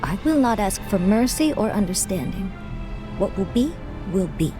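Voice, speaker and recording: An actress playing a proud, bitter zealot who leads a crusade, speaking in solemn, formal, righteous phrases in a video game. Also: female voice, mid-range voice, big pitch swings, moderate pace, natural voice. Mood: grim, resigned. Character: Annie, bitter proud axe-wielding woman zealot, crusade leader. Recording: music behind the voice.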